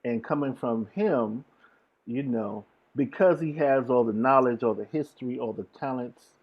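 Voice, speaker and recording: Male podcast guest, slangy and sincere, husky voice, dull video-call audio. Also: medium pitch, normal speed. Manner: earnest, informal slangy talk. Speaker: interview guest, DJ and music producer. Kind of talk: casual chat.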